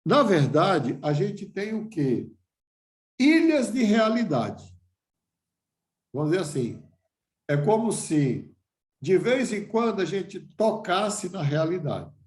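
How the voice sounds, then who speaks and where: A man's voice: sits high, big pitch swings, takes it slowly, long pauses. Older male lecturer, echoey room. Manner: reflective, rhetorical questions, frequent restarts. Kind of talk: lecture to a class.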